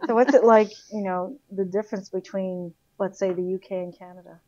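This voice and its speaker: A woman's voice: mid-range voice, fairly even intonation, brisk, a little mellow, no echo. Encouraging woman talk show host. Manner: encouraging, frequent affirmations. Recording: dry, slightly dull sound.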